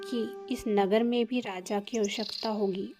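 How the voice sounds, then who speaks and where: A woman's voice: medium pitch, steady tone, unhurried, natural voice. A woman voicing a worried, fatherly king in a cartoon moral story, speaking formally and earnestly. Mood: thoughtful. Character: worried fatherly king seeking a son-in-law. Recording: loud music behind the voice.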